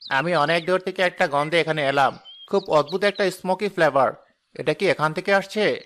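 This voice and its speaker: A man's voice: a little high, normal speed, fairly bright tone, a little hiss or hum, lightly acted. A man playing an enthusiastic urban food lover in a cartoon drama, sprinkling English words into his talk. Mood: intrigued. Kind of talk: Bengali cartoon drama voice acting.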